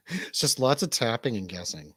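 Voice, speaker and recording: A man's voice: medium pitch, fairly bright tone, husky, no echo. A man hosting a livestream, chatting casually in an informal, bantering way. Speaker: livestream host.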